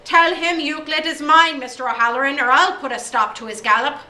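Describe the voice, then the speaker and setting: A hot-tempered old schoolmaster bellows and hurls insults, as a woman reading aloud puts him on. The voice rings bright and high in an echoey room, picked up from across the space. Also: expressive, moderate pace, far from the mic, a little hiss or hum. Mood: furious. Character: hot-tempered older male Irish schoolmaster.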